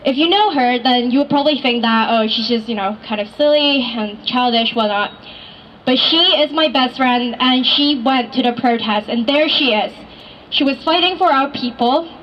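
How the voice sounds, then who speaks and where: High-voiced young woman. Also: normal speed. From Hong Kong. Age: 20s. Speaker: rally speaker. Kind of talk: personal speech at a rally. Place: outdoor city street rally. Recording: dull outdoor recording, faint noise.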